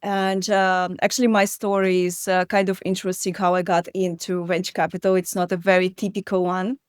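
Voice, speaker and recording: Woman, thirties, smooth clear voice, dry close sound, halting with fillers. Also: fairly low pitch, little pitch movement, dry recording. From Belarus. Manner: hesitant, many fillers and restarts. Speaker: guest investor. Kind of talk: conversational interview.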